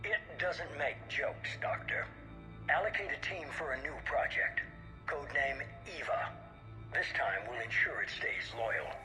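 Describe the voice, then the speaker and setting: Cold, commanding mastermind, music behind. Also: male voice, fairly high pitch, big pitch swings, bright, forceful tone, exaggerated voice, low-quality recording. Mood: stern, commanding. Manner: measured, authoritative, dismissive. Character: cold, commanding male leader of a shadowy organisation. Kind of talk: video game cutscene acting.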